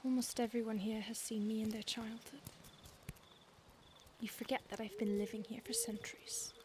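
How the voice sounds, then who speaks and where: Woman as centuries-old vampire stalker, female, soft menacing whispers, higher voice, noisy hiss behind. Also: normal speed, close to an everyday voice. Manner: soft, intimate, often whispered; calm, menacing, possessive. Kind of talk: audio roleplay.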